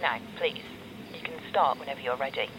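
A woman acting in an audio drama as a calm, encouraging therapist, heard on an old cassette recording. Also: very deep voice, fairly quick. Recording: noisy old cassette tape.

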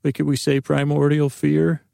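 Podcast guy rambling along, heavy vocal fry, super dry sound, keeps restarting himself. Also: a little high, level tone, normal speed, dark tone, no room sound. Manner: rambling, full of restarts and self-interruptions; acts out imagined dialogues. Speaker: podcast host. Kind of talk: rambling comic monologue.

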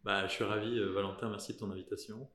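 A man's voice: on the low side, flat delivery, quick pace, a bit bright, roomy sound. A man in his thirties from southern France, a lawyer speaking as an interview guest, hesitant with many fillers and restarts. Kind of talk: conversational interview.

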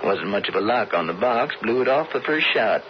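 A man's voice: on the low side, lively intonation, a little fast, lightly acted. An American actor playing a cool, mocking stagecoach robber who drawls his taunts in a radio Western. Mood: smug. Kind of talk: radio Western drama acting. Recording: dull, noisy vintage radio broadcast.

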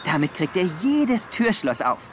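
An actor playing a bold, excitable young boy in an audio drama. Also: medium pitch, normal speed, a little hiss or hum, natural voice. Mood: cocky. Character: Johnny, bold adventurous young boy, whispering bravado. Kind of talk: German audio drama acting.

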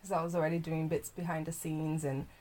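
A woman's voice: sits low, flat delivery, quick pace, warm tone. A young woman chatting as a podcast guest. She is bubbly, laughs often and rambles with plenty of fillers. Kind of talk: casual interview chat.

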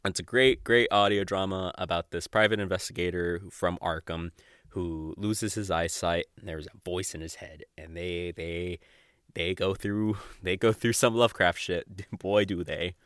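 A young adult man hosting a podcast solo, chatting casually and off the cuff in a giggly, rambling, sweary way with halting false starts. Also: sits low, steady tone, fairly bright tone, clean tone, no echo, clean studio sound. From Mexico or of Mexican family. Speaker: podcast host. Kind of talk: casual unscripted podcast monologue.

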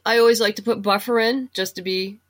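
A woman's voice: medium pitch, steady tone, fairly quick. A woman talking casually and enthusiastically as a guest in an interview, in a chatty way. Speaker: guest, writer and audio drama producer.

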